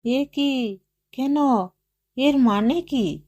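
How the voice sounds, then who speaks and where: A woman reader voicing a nervous, polite gentleman neighbour, courteous and protesting, in an audio story. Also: sits high, extremely slow, voice pushed up, full character voice. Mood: flustered surprise.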